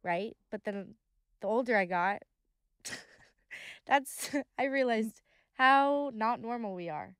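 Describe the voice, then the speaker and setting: A young woman in her late teens with a smooth, clear voice at a middle pitch. She chats and tells stories with a ready laugh. The sound is dry and close. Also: expressive, slow, pauses often, no room sound. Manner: laughing, chatty storyteller. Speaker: guest, host's daughter. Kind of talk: casual family conversation. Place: cozy living-room studio on a sofa. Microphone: boom-arm studio mic.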